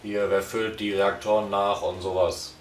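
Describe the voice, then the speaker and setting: A man in his thirties in a casual review chat, unhurried and hedging. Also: lowish voice, flat delivery, slight background noise. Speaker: podcast reviewer. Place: small living room, on a sofa. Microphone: far camera. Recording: echoey room from far off.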